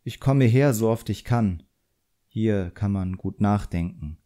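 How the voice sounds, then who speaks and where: A man's voice: fairly low pitch, unhurried, mellow, lightly acted. A man voicing a proud, earnest boy apprentice magician in an audiobook, naive and easily indignant.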